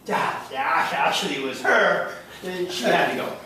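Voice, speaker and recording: A man's voice: fairly high pitch, normal speed, pushed and bright. A man reading a laid-back, slangy, beer-loving beach bro in a play, in an echoey room. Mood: carefree. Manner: casual, slangy. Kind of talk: script read aloud at a play reading. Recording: echoey room, far from mic, faint noise.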